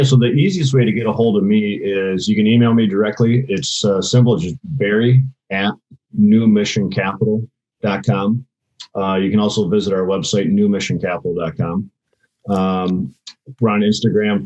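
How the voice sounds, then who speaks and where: A man's voice: lowish voice, steady tone, normal speed, a little mellow, highs cut off. A man speaking as a podcast guest and real estate investor, answering conversationally.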